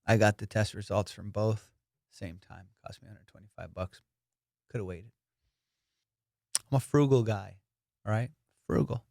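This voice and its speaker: A man's voice: fairly low pitch, normal speed, gravelly, pauses often, dry recording, clean studio sound. A young adult man, a comedian and podcast host, telling boastful, profane stories in a casual monologue. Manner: profane, boastful storytelling with excited bursts.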